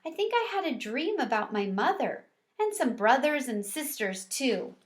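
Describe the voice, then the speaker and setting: A woman voicing a small, earnest, excitable male pet hamster in a children's storybook, squeaky when exclaiming. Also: normal speed. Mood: startled, wistful.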